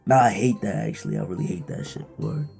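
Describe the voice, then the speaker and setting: Gravelly deadpan young man. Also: lowish voice, fast talker, soft background music. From Pennsylvania. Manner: laid-back, deadpan teasing with slang. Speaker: streamer chatting with strangers. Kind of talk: casual video chat banter. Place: bedroom with gaming chair. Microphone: headset and desk mic.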